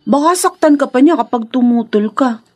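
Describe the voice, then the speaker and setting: An actress playing a young, gossipy, teasing matchmaker friend in a Filipino radio drama, with a Filipino accent. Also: female voice, sits high, animated, normal speed. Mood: teasing, knowing. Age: young adult. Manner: teasing, insinuating.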